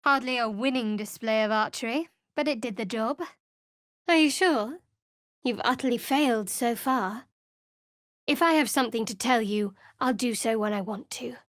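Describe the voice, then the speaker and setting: A woman playing a guarded, sardonic cleric, higher-pitched and dry. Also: dry recording, natural voice. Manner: dry, wry, measured delivery. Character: guarded, sardonic young woman cleric devoted to a dark goddess. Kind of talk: video game character voice lines.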